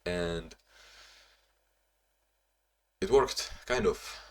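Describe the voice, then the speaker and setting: A young man talking in a casual, reflective diary-style vlog, halting with frequent restarts and sounding a bit sleepy. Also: sits low, a bit bright. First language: not English; Portuguese not first language either. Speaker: vlogger.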